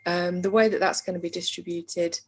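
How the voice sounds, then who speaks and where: A woman giving a measured briefing on funding at a council meeting, pausing often on fillers. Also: fairly low pitch, fairly even intonation. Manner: measured, with frequent hesitation fillers. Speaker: council finance officer.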